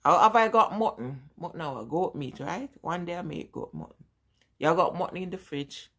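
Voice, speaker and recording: A woman's voice: fairly low pitch, expressive, normal speed, a bit bright. A woman in her forties from Jamaica, with a Jamaican accent, chatting casually with live-stream viewers and repeating her points with emphasis. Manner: emphatic and repetitive, talking straight to viewers. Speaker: vlogger.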